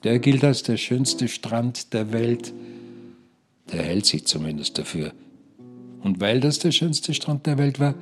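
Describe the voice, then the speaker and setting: An actor playing a stubborn, chatty retired husband who talks in a rambling, dryly persuasive, colloquial way. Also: male voice, mid-range voice, expressive, a little slow, soft background music, natural voice. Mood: wry, ranting. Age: older. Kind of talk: satirical radio drama monologue, conversational.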